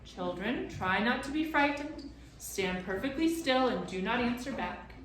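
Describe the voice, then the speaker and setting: A woman voicing a calm, stern older male professor in a storybook. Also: fairly high pitch, pitched above the performer's own voice. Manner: calm, measured. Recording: very echoey room.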